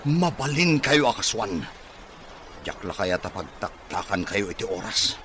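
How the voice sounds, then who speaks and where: An actor playing a bitter, wealthy, distrustful son in a radio drama, brusque and scornful in manner. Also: male voice, fairly low pitch, big pitch swings, a little slow, crowd noise. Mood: angry, threatening.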